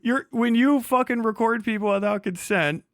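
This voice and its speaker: A man's voice: very high voice, moderate pace, fairly bright tone, dry recording, studio quality. A man livestreaming combative, off-the-cuff reaction commentary, indignant and mocking, swearing heavily and repeating phrases for emphasis.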